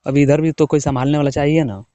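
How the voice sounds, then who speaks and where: A man's voice: fairly high pitch, fairly even intonation, fast talker, dark tone, dry recording. A young man from the of India, chatting casually with his wife, teasing and coaxing. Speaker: husband working away from home. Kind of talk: casual phone chat.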